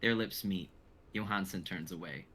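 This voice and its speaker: A man's voice: deep voice, moderate pace, sharp tone, slight background noise. A young man reading stage directions as dramatic narration in a live script reading. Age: young adult.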